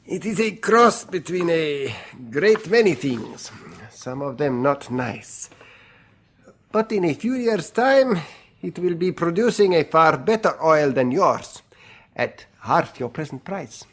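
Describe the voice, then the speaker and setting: Radio drama role: sly wheeler-dealer, highish lively voice, smoothly polite. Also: male voice, takes it slowly, exaggerated voice. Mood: confident bargaining. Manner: smooth, bargaining, slyly polite. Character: shady, self-assured South American male dealer, slyly amused. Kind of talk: classic radio drama acting.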